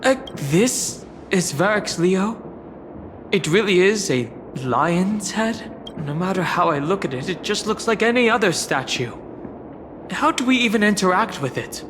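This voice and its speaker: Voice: mid-range voice, animated, unhurried, noisy background, natural voice. Actor as an earnest young adventurer, long reverb. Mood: puzzled. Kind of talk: video game voice acting. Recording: long reverb, music behind.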